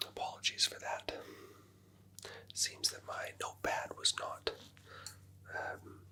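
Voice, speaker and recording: A person whispering gentle, patient clinical instructions in a close, quiet ASMR medical roleplay as a doctor. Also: slow pace. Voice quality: whispered, mostly unvoiced. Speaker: ASMR creator playing a doctor.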